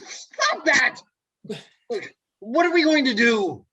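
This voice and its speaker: A man's voice: extremely high pitch, big pitch swings, slow, pushed and bright, highs cut off, exaggerated voice. A man playing an anxious, sceptical office worker in a comedy table read over a video call, sputtering with exasperation. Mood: exasperated.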